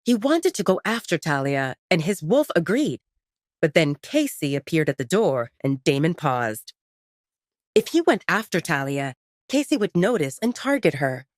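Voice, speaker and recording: Woman narrating a romance audio drama, lowish voice, lots of up and down, dry studio sound. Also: very animated, moderate pace, no room sound.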